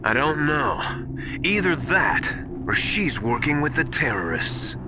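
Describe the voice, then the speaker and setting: A suspicious military adviser in a game. His voice swings up and down as he explains with urgency and emphasis. There is clear noise behind him. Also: on the high side, very animated, a little slow. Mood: urgent suspicion. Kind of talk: dramatic video game radio dialogue.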